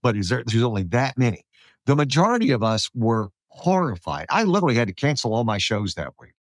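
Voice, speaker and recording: American male podcast host, mid-pitched, very animated delivery, emphatic, conversational, recorded dry. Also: brisk, no room sound. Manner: animated, emphatic, acts out quotes. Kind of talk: conversational political talk.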